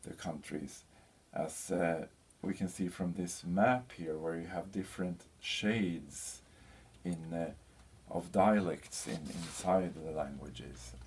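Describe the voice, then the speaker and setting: A man presenting an informal slide lecture as a teacher, speaking in a hesitant, halting way with frequent pauses. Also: low voice, darkish tone. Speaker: university lecturer presenting a lesson. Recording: clear background noise.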